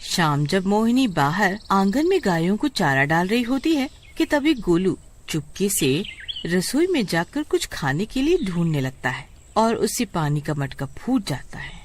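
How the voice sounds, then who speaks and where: A woman's voice: medium pitch, big pitch swings, unhurried, slight background noise. A woman narrating a scripted story dramatically.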